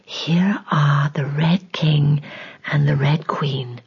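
Curious storybook girl played by a woman, polite, eager, expressive, slightly muffled recording. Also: mid-range voice, normal speed, breathy edge, voice pushed up. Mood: hushed wonder. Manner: whispers to herself, exclaims earnestly.

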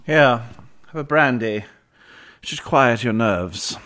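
A man acting a calm, probing gentleman ghost hunter in a radio drama, measured and inquisitive. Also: medium pitch. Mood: steadying.

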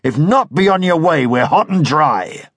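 A man voicing a tall, swaggering royalist cavalry officer who banters loudly and gives orders. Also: fairly high pitch, expressive, measured pace, slightly rough, no echo, voice pushed up. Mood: boisterous. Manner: loud, bantering, commanding. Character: boisterous tall royalist dragoon leader, male, swaggering.

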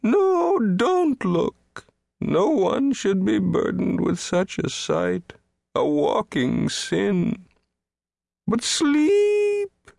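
Storybook outcast creature: very high-pitched, self-pitying, mournful, wildly swooping melodramatic lament. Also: male voice, huge pitch swings, gravelly, darkish tone, no room sound, studio quality, full character voice. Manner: theatrical howling and sighing, drawn-out lament. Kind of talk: storybook character voice.

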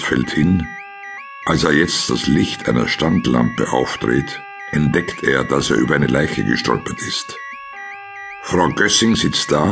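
A man's voice: a bass voice, creaky, fairly bright tone. A man narrating a radio play, reading scene directions in a terse, matter-of-fact way.

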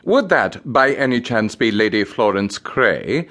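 An unflappable English valet in a radio play. His delivery is formal, precise and deferential, with a dry edge of polite disapproval. The melody of his speech moves widely, at a middle male pitch. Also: animated, measured pace, a bit bright. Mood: discreet, formal. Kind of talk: radio comedy acting.

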